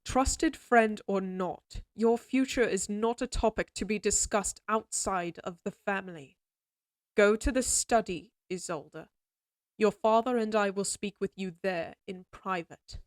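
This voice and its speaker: A woman's voice: mid-range voice, measured pace, dry recording, lightly acted. A woman acts out an imperious middle-aged lady of a fantasy castle. She speaks formally and with clipped command, in a very dry, close recording. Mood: stern. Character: stern, imperious noble mother of a lady knight. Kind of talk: scripted fantasy audio roleplay acting.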